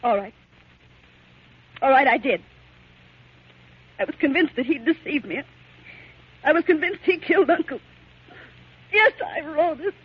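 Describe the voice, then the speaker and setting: Muffled old-time radio melodrama. a very high-voiced young woman, emotional and defensive, who speaks in halting, impassioned phrases full of anguish. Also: expressive. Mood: desperate confession. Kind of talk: 1940s radio melodrama acting. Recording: muffled 1940s radio recording.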